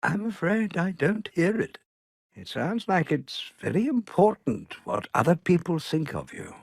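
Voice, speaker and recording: An actor playing a patient older male psychologist with a British accent and a measured, formal manner. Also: sits high, sing-song, vocal fry, dry recording, clean studio sound, full character voice. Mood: measured, firm. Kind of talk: animated sitcom dialogue.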